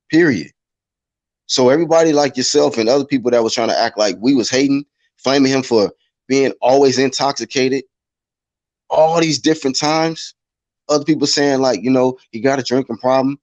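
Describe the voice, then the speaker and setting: A man's voice: medium pitch, darkish tone, no echo, low-quality recording. A man hosting a casual online talk show, arguing bluntly and reading out viewer chat. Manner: blunt, argumentative, reads chat. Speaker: show host. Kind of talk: heated casual debate.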